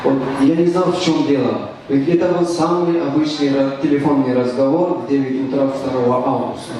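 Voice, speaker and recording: Deadpan young comic. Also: male voice, mid-range voice, far from the mic. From Tajikistan. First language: Tajik. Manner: deadpan, halting with restarts and fillers. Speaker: stand-up comedian. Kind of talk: stand-up comedy set. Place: stage in a club. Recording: echoey room recorded from afar, faint noise.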